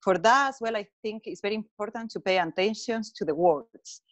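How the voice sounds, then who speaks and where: A woman's voice: mid-range voice, dry recording. Spanish-accented woman presenting on a video call. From Spain. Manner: earnest, halting non-native phrasing with fillers. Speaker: webinar speaker, colour consultant. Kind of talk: online presentation.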